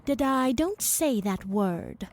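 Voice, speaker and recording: Actress as a motherly, higher-voiced minder. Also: expressive, slow pace. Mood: admonishing. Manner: admonishing, motherly. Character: protective, patient, gently scolding female minder of a child. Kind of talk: audio drama acting.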